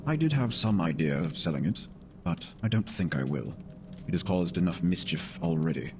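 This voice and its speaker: A man playing a grave, middle-aged British sergeant major, slow and reticent, in a dramatic horror story reading. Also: low-pitched, expressive, noisy background. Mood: grave, reluctant.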